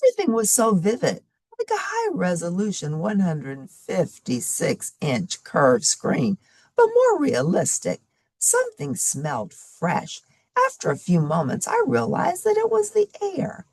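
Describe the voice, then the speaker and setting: Woman reading as a gravelly, animated storybook potato. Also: medium pitch, unhurried, dry recording, full character voice. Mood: wondering. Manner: dramatic, expressive storybook delivery. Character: laid-back, amusing male couch potato telling his story.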